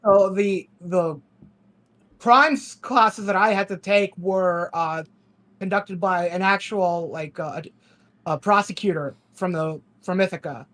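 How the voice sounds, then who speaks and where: A man's voice: sits high, slow pace, fairly bright tone. Sardonic Ukrainian-raised man chatting. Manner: rambling, sardonic, laughs often. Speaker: podcast guest. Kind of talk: casual podcast chat.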